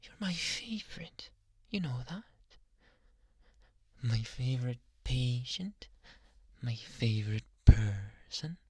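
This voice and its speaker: A man's voice: medium pitch, sing-song, slow pace, pauses often, husky, dry recording, heavily acted. A man playing a possessive, doting young yandere doctor in an ASMR roleplay, murmuring breathily and slipping in and out of whispers close to the mic. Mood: tender, possessive. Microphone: close microphone.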